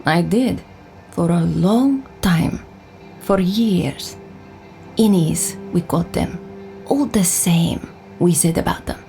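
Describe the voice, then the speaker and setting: A woman's voice: fairly low pitch, slow pace, gravelly, pauses often, dark tone, soft background music. An actress playing a candid, world-weary female war veteran in an audio drama, blunt and plain-spoken. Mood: somber, reflective. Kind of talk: scripted audio drama dialogue.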